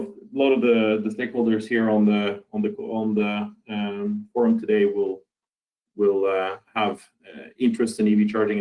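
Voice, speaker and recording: A man from Norway giving a conference talk over a video call, speaking haltingly with many fillers and restarts. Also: lowish voice, a little mellow, poor audio. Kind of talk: online conference presentation.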